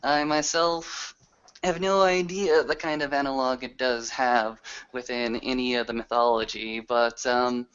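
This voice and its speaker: A man with a bright, clear voice of middle pitch improvises in character at a roleplaying session. He feels his way through the exposition haltingly. The recording is slightly dull and lo-fi. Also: fairly even intonation. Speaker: tabletop roleplay player. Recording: dull lo-fi audio.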